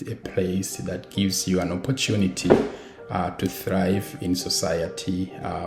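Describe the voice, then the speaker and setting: A man from Rwanda speaks in a very deep voice, measured and reflective, often pausing on "uh". His voice carries room echo, and music plays clearly underneath. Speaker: former refugee, runs refugee support initiatives. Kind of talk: recorded interview testimony. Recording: roomy recording with music underneath.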